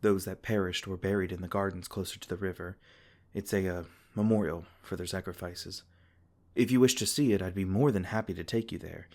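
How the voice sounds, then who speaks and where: Brief: a low-voiced, tender fae king played by a man, soothing and unhurried in his kindness. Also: steady tone. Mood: gentle. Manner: soft-spoken, reassuring, with small laughs. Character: gentle, protective male fae king. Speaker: ASMR roleplay performer. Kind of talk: ASMR comfort roleplay.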